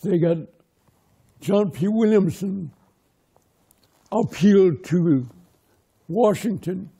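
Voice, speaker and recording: A man whose first language is Lakota, telling oral history in short, plain sentences. His voice sits high for a man but has a very dark, mellow tone. He speaks slowly and unhurriedly. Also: creaky, breathy edge. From Pine Ridge, South Dakota. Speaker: interviewee, local historian.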